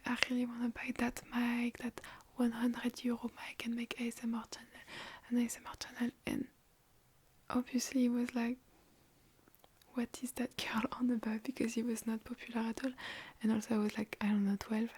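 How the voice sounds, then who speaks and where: A young woman from France with a French accent, whispering softly and chattily as she answers viewer questions in an ASMR video from her bedroom, recorded on a phone. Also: a little high, little pitch movement, normal speed, slightly rough. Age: young adult. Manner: soft unvoiced whisper, rambling and chatty. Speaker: ASMR creator.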